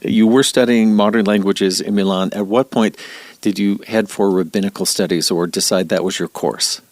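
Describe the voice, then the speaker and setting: A man hosting an interview, asking questions in a gentle, conversational way. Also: fairly low pitch, steady tone, moderate pace, no echo. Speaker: interview host.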